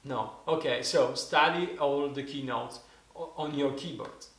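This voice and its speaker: A man in his forties with an Italian accent, teaching in a casual spoken lesson, often pausing and restarting as he searches for words in English. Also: medium pitch, gravelly, a bit bright, roomy sound. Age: 40s. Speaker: didgeridoo teacher.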